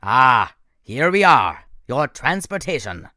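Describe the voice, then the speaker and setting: A man's voice: medium pitch, big pitch swings, bright, forceful tone, no room sound, clean studio sound, exaggerated voice. A man putting on a gloating, menacing monster. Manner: slow, gloating and theatrical. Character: menacing male monster posing as a teacher.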